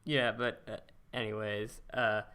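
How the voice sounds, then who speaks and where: A man hosting a casual podcast interview, talking in a rambling, halting way and often repeating his words. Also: on the low side, level tone, pushed and bright, clear, resonant tone. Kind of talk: casual chat.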